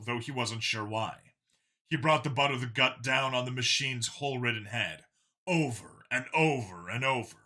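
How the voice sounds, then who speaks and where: A man's voice: medium pitch, sharp tone. A man narrating a story in a dramatic scripted reading style.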